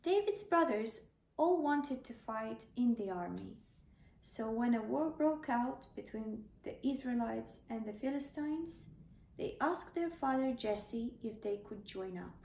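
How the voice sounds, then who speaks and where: A woman's voice: a little high, expressive, measured pace, vocal fry, a little mellow, slight background noise. A woman narrating a scripted children's bedtime story as a storyteller. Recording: echoey room, slightly distant microphone.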